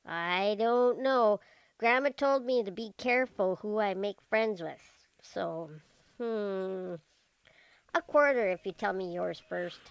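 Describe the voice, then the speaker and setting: A woman's voice: mid-range voice, slow pace, vocal fry, clean tone, no echo, rough recording. A woman playing a cagey, street-smart eleven-year-old girl in an old-time radio detective drama. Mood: cagey, bargaining.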